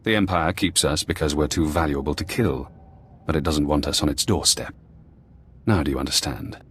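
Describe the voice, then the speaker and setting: An actor playing a paranoid, calculating former spy in a video game, speaking in a cool, measured and cryptic way. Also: male voice, very deep voice, a little hiss or hum. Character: paranoid, calculating imprisoned former spy analyst, adult man. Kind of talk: acted video game dialogue.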